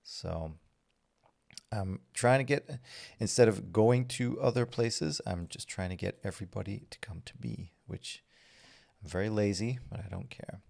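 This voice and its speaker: A man, his voice deep and gravelly with a lot of fry. He chats loosely with viewers during a livestream, rambling through ums and false starts. The tone stays easygoing and unhurried. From Belgium. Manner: relaxed and rambling, with many fillers and restarts. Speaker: streamer answering chat. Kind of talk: casual livestream chat while working.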